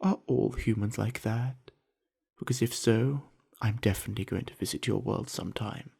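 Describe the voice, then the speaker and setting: Guy doing a big friendly orc jock for asmr, deep n husky, easygoing himbo, bit flirty. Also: normal speed, mellow, heavily acted. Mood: flirty, tender. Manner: laid-back, easygoing himbo with casual slang. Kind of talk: ASMR roleplay acting, addressed to the listener.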